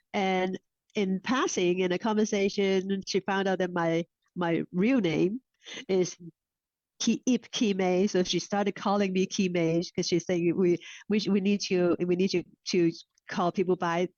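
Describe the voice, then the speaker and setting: A middle-aged woman, a Cantonese speaker, answers interview questions in a halting way, repeating and restarting her words. Her voice sits at an ordinary pitch. The recording is dry and a little dull. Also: a little mellow, no echo. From Hong Kong. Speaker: guest. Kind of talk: casual interview chat. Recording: slightly dull, dry sound.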